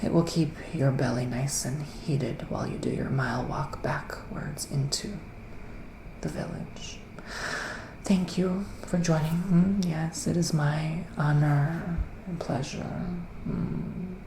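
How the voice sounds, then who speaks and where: Man playing a woodland psychic witch, ASMR roleplay, gravelly fry, soft and whispery, mystical theatrical phrasing, echoing room. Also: on the high side, takes it slowly. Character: psychic male witch living in the woods, giving readings. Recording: roomy echo with faint background noise.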